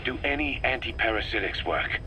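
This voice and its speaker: A man's voice: mid-range voice, lively intonation, brisk, pushed and bright, a little hiss or hum, poor audio. A man playing a blunt, wary military commander in a video game cassette tape, asking worried questions.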